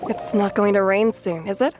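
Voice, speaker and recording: A woman's voice: mid-range voice, moderate pace, close to an everyday voice. A woman playing an easygoing, contented townswoman in a video game, with music behind her. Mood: relaxed. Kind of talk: English video game voice acting. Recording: music under the voice.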